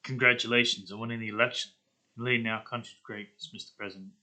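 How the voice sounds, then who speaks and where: A man's voice: mid-range voice, fairly even intonation, fast, bright, forceful tone. A man voicing a scheming, impatient political blackmailer in a theatrical, threatening manner. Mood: smug threat.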